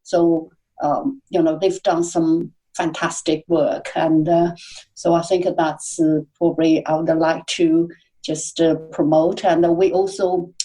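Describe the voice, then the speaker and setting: Podcast guest lady, soft mellow voice, keeps going uh um. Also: low-pitched, level tone, a little slow, poor audio. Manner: hesitant, many uhs and fillers. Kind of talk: casual interview answers.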